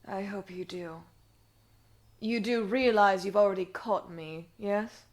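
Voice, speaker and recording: A woman's voice: medium pitch, slow, close to an everyday voice. A woman voicing a smug, resentful genius scientist villainess in an animation fandub, with a haughty, articulate, theatrical delivery. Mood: mocking. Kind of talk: dramatic animation fandub acting.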